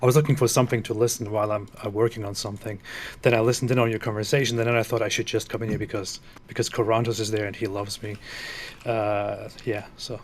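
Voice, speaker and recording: A man in his thirties calling into a stream, halting and hesitant with dry jokes. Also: fairly low pitch, little pitch movement. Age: 30s. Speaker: guest caller. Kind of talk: casual voice chat.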